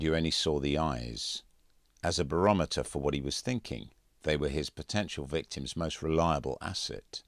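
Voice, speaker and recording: Deep-voiced man reading a novel. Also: no echo, studio quality. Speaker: author reading own audiobook. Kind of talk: scripted first-person narration, literary audiobook.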